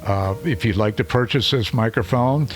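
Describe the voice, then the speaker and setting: A deep-voiced man talks straight into a studio microphone, reviewing it casually. His pitch sits in the ordinary middle for a man. He rambles a little, with plenty of fillers. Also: moderate pace, faint music behind. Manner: chatty, loose, with frequent fillers. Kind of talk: casual product review to camera. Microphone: studio microphone under test.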